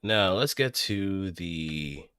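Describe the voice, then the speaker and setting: Low-pitched, bright-toned man thinking aloud. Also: animated, very slow, clear, resonant tone, no echo, clean studio sound. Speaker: streamer. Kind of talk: casual thinking-aloud stream chat.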